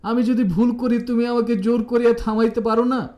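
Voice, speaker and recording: A man voicing an emphatic, high-pitched young wife. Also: little pitch movement, fairly quick, mellow. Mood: distressed reproach. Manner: exclamatory, emphatic, pleading. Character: Patal, impulsive, scolding then remorseful young married woman. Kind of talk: audiobook character dialogue.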